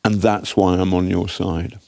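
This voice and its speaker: A man's voice: deep voice, creaky, a little hiss or hum. Wry English man telling war stories. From Britain. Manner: wry, animated storyteller with halting restarts. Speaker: guest journalist. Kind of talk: anecdotal storytelling in interview. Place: TV studio.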